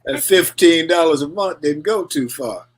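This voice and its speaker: A man's voice: fairly high pitch, normal speed, husky. A man hosting a talk show, talking loosely with chuckles and asides before reading a guest introduction. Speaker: show host. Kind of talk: casual radio talk.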